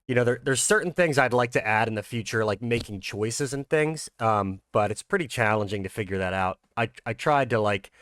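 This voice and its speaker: A man's voice: mid-range voice, a bit bright, no room sound. Male indie creator, informal and hesitant, recorded dry. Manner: hesitant, halting. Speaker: fan game creator. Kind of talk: casual commentary.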